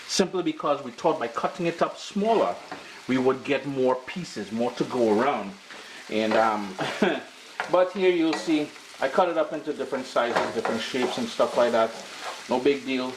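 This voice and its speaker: A man's voice: mid-range voice, background noise. A middle-aged man with a Caribbean accent hosting a casual cooking video in his kitchen. His nose is stuffy from allergies, and his talk is chatty and slangy. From Caribbean island. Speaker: cooking show host. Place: home kitchen.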